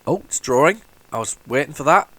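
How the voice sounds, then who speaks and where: A man hosting a retro gaming video, talking casually while loading old tapes, with a head cold and a rambling, restart-filled way of talking that jumps into excited bursts. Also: mid-range voice, fairly quick, no room sound. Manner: rambling, chatty, lots of restarts and sudden excited outbursts. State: has a head cold, sniffly.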